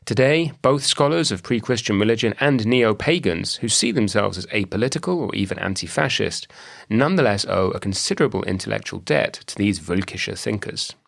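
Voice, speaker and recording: A man with a British accent hosting an academic podcast, reading a measured scripted introduction and asking questions. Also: on the low side, animated, vocal fry. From British Isles. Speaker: podcast host. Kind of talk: scripted intro then interview.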